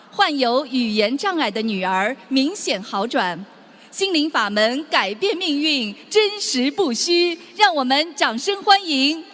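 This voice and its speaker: Female event host, formal announcer delivery, unhurried, long hall reverb. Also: high-pitched, fairly bright tone. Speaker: event host introducing a speaker. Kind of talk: formal stage announcement in Mandarin.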